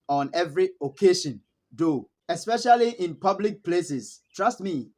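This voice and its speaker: Ghanaian guy vlogging, kinda high voice for a man, laughs a lot, says no no no no. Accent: Ghanaian English. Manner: chuckles often, repeats words for emphasis. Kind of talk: casual storytelling to camera.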